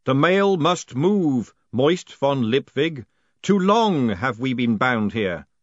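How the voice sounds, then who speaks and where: A man voicing a commanding mystical voice made of letters, intoning slowly and solemnly. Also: mid-range voice, very animated, no echo, muffled recording.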